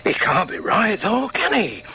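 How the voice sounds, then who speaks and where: Troubled Northern English father, swooping pitch. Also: huge pitch swings, unhurried, sharp tone, slight background noise, full character voice, poor audio. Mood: troubled, evasive. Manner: hesitant, stammering, agitated. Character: troubled middle-aged communist father, defensive and self-doubting. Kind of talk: radio drama with muttered inner monologue.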